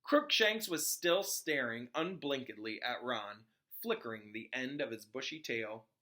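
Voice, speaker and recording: A man narrates a children's novel like a classroom teacher. His voice is very bright and forceful, and his expressive reading moves through a lively range of pitch. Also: measured pace. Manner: explains hard words in simpler terms.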